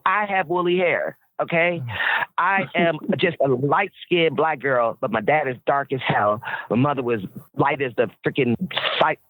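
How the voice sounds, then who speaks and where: A woman with a very deep voice, telling stories bluntly and with profanity as a guest in a casual interview chat. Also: dry recording. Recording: tinny phone-like line.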